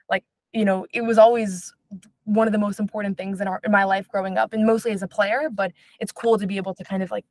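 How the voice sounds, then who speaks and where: A woman's voice: mid-range voice, no echo. A young woman born in Israel, a basketball reporter answering interview questions in a chatty way with many fillers. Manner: fast, chatty, lots of fillers like "um" and "like".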